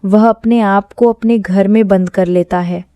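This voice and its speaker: A female narrator tells a scripted horror tale. Her voice is dark and mellow at a middle pitch. The recording is dry and close, without room echo. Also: steady tone, a little slow, clear, resonant tone, muffled recording.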